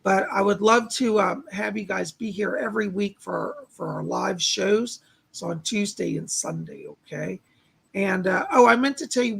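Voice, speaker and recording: A man hosts a live stream in a very gravelly, husky voice that sounds a little tired. He talks directly to his viewers in a casual, informal way. The rasp runs through everything he says. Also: high voice. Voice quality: raspy. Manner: chatty, exclaiming, addresses viewers by name. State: slightly raspy, tired. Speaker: psychic medium live stream host.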